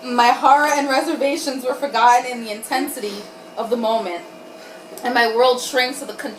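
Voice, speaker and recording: High-voiced tipsy woman reading aloud, roomy sound. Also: steady tone, brisk. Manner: irreverent, giggly, tipsy, breaks off to comment. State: tipsy from wine. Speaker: reader and host of a comic group reading. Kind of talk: dramatic reading of erotic fiction with joking asides. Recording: roomy, distant mic, faint background noise.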